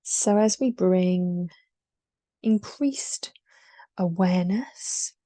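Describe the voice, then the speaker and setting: A woman leading a guided meditation in a soothing, unhurried way, leaving long pauses between short, softly breathed phrases. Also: on the low side, dry recording.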